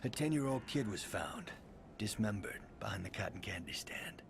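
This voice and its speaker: A man's voice: fairly low pitch, very animated, normal speed, creaky. A man playing a bitter, sardonic estranged son of a tycoon in a video game cutscene, speaking with dry weariness. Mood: bitter, haunted. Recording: noisy game capture.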